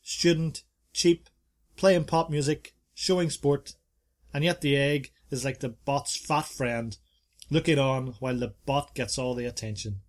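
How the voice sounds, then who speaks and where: A man's voice: medium pitch, unhurried. A man co-hosting a casual podcast, chatting about bars and reading reviews aloud with playful asides. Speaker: podcast co-host.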